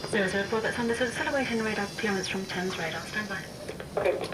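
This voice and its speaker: A woman's voice: mid-range voice, fast. A woman working as an air traffic controller, giving clipped procedural calls.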